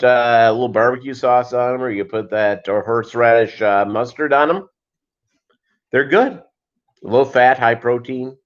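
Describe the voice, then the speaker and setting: A man hosting a casual livestream, chatting with viewers in a halting way and wandering between asides. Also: mid-range voice. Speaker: livestream host. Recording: dull lo-fi livestream audio.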